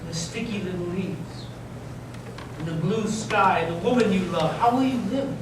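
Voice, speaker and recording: A man's voice: high voice, normal speed, close to an everyday voice. A man playing a devout, troubled young monk in readers theater, questioning with earnest urgency. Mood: anguished. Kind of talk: staged readers theater dialogue. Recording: echoey noisy room, distant microphone.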